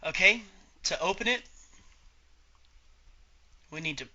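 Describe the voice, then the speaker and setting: A man giving an informal step-by-step software tutorial as an instructor, talking through each click aloud. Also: sits high, animated, takes it slowly, lo-fi sound. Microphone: voice-over on a screen recording.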